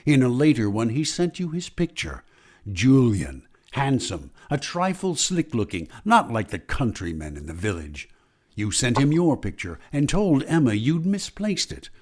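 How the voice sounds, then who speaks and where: Knowing male suspense narrator actor, mid-pitched with big melodic swings, dramatic, insinuating old-time radio delivery. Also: huge pitch swings, measured pace, a little mellow. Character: knowing, insinuating male storyteller addressing the heroine.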